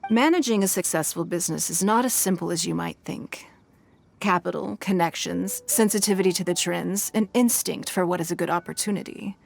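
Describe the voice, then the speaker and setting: An actress playing a poised, shrewd and wealthy stateswoman in a video game, speaking in a formal, measured, composed manner. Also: on the low side, gravelly, a little hiss or hum. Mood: assured. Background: music.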